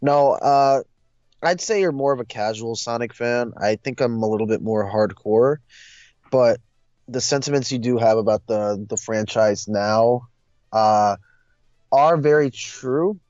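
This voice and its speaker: Young guy co-hosting a chill chat, average pitch, kinda hesitant, bit lo-fi audio. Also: measured pace, a little mellow, no echo, faint music behind. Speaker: discussion co-host. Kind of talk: casual chat.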